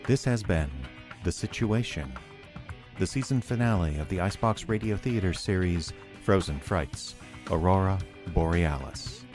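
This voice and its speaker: A man's voice: low-pitched, lively intonation, moderate pace. A man announcer giving a scripted end-credits narration for an audio drama. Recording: guitar music behind the voice.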